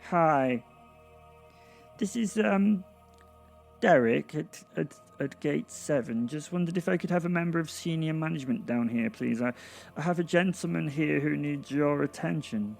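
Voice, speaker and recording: A man voicing a nervous, polite little gatekeeper clerk in an audio drama, with a squeaky, trembling, hesitant voice. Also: unhurried, gravelly, darkish tone, faint music behind. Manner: hesitant, over-polite, fussy.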